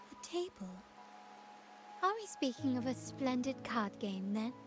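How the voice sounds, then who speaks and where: A woman's voice: high-pitched, sing-song, slow, over music, heavily acted. A woman playing a poised, cunning young noblewoman in a video game, polite, composed and formal. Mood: sly, composed. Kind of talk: scripted video game dramatic acting.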